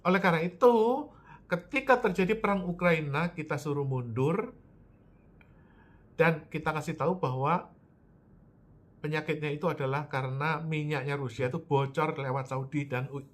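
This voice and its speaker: A man's voice: on the high side, moderate pace, long pauses. A man from Java, giving an emphatic lecture-style political talk, asking rhetorical questions and answering them himself. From Java, Indonesia.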